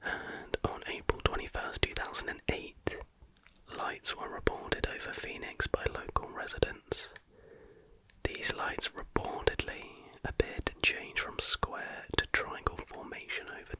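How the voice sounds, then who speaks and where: An ASMR host reading factual material aloud in a breathy, unvoiced whisper with a measured, hushed delivery.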